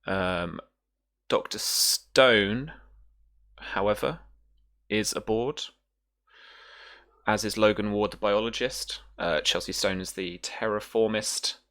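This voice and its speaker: A game master narrating a sci-fi tabletop roleplaying game in short, hesitant phrases with deliberate pauses. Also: mid-range voice, a bit bright, clear, resonant tone.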